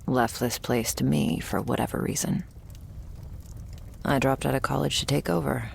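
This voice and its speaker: A woman playing a jaded, wry, terminally ill shopkeeper who serves a vampire, speaking in an intimate, deadpan ASMR roleplay monologue. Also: slight background noise, full character voice. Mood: wry, reflective. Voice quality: low, husky, gravelly. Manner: deadpan, sardonic, slow and pausing, swearing casually.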